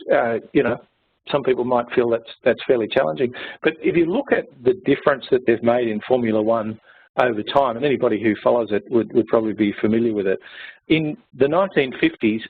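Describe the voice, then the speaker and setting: A man giving a conference presentation in a conversational way, with frequent fillers and repeated words. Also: medium pitch. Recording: tinny phone-like audio, husky.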